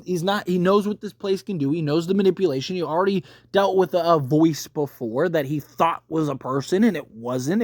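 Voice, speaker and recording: A man's voice: a little high, a little mellow, dry recording, clean studio sound. A man giving casual spoken commentary as a video creator, emphatic and often rephrasing his points to stress them. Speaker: video creator reviewing a TV series.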